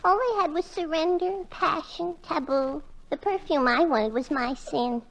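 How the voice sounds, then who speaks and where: Very high, creaky comic girlfriend. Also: a little slow, low-quality recording. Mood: chatty, artless. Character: dizzy, squeaky young woman, comic girlfriend. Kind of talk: 1950s radio comedy acting. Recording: old radio recording, faint noise.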